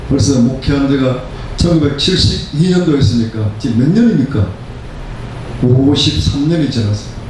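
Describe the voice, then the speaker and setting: Man in his seventies, pastor, emphatic sermon delivery, repeated phrases, mellow tone, heavily reverberant room. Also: mid-range voice, animated, normal speed. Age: 70s. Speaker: pastor preaching. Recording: very echoey room, distant mic, faint noise.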